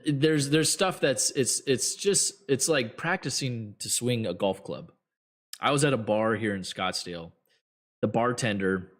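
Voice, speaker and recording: A man hosting a trading show, giving blunt, rambling advice to viewers with many fillers, his voice getting hoarse. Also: fairly low pitch, a bit bright. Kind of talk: casual advice talk to viewers.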